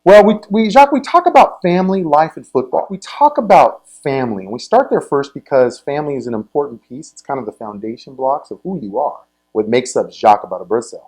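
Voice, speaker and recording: An American man works as the host of a sports interview show. His delivery is conversational and halting, and he often doubles back on his words as he puts questions together. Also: medium pitch, animated, a little mellow, poor audio.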